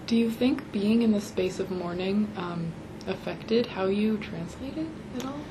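A woman's voice: medium pitch, measured pace. A woman interviewer posing questions, searching for words and rephrasing as she goes. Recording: clear background noise.

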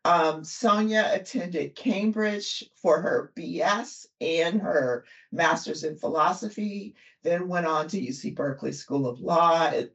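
A woman hosting a podcast interview, unhurried and chatty, with many fillers and frequent laughs. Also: fairly low pitch, lo-fi sound. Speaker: podcast host. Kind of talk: interview conversation.

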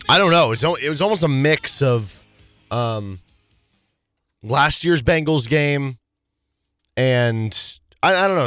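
A man with a hoarse, worn voice talks sports in a loose, rambling way, often restarting his sentences. His voice sounds dry and close, with no room around it. His pitch sits in an ordinary middle range. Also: fairly bright tone, no room sound. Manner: rambling, many restarts and fillers. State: hoarse, voice partly lost. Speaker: sports talk show co-host. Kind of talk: casual sports chat.

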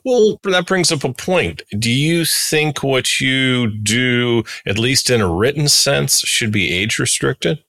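A man's voice: mid-range voice, animated, slow pace, few pauses, bright, forceful tone, no echo. A man hosting a podcast interview in a casual, laid-back and blunt way.

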